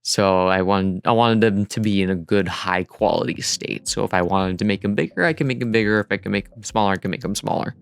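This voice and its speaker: A man's voice: fairly low pitch, a little fast, creaky, hardly any pauses. A man giving a casual, rambling tutorial voice-over in an informal, self-deprecating way. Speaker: digital artist narrating a dev vlog. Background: music.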